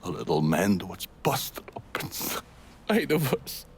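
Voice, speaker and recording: A slow-drawling old boss. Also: male voice, sits low, big pitch swings, a little hiss or hum, full character voice. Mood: reflective. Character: hard-bitten old male Irish gang boss. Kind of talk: video game cutscene acting.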